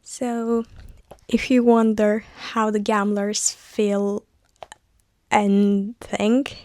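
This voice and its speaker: A young woman whose first language is Turkish, chatting softly about her thrift finds and books in a casual vlog, with pauses and restarts. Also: mid-range voice, flat delivery, no room sound, studio quality. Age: young adult. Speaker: vlogger, university student. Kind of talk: casual vlog voice-over chat.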